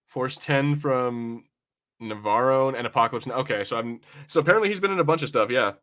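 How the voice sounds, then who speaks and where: A man's voice: medium pitch, lively intonation, fairly quick, fairly bright tone. A young adult man in casual podcast banter, brash and teasing, swearing freely as he talks. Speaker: podcast co-host. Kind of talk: casual watch-party banter.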